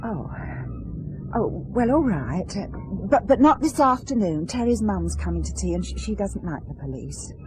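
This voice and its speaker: A woman's voice: medium pitch, big pitch swings, moderate pace, a little mellow, natural voice. An actress playing a chatty, scatterbrained English housewife and busy mother in a radio drama, hesitant and rambling, often stammering. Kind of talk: naturalistic radio drama acting. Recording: noisy radio drama recording.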